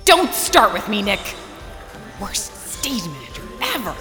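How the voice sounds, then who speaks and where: A woman's voice: medium pitch, wildly swooping pitch, unhurried, in a large hall, music in the background. An actress playing Grace, a harried corporate event emcee who loses her temper and swears at her stage manager in an audio drama. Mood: irate. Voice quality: profane, exasperated, bickers. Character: flustered harried woman company emcee.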